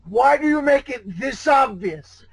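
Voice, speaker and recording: High-pitched, animated man bantering casually. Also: a little slow, gravelly, raised voice. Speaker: co-host reacting to the story.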